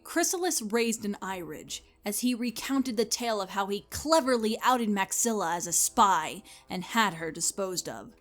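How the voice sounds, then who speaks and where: Animated woman narrating a story. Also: medium pitch, moderate pace, hardly any pauses, faint music behind. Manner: expressive storytelling.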